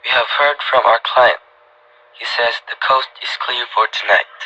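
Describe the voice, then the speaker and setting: Man acting sharp, cold-blooded criminal on the phone. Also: medium pitch, steady tone, moderate pace, slight background noise. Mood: businesslike. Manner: terse, businesslike, menacing. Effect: telephone filter. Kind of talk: radio play acting. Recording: dull, narrow telephone-line sound.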